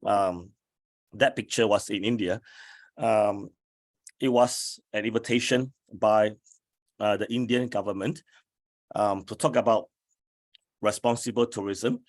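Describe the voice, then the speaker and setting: A man, an academic, giving a slide lecture in a hoarse voice, speaking deliberately with many fillers. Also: lowish voice, steady tone. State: hoarse from flu.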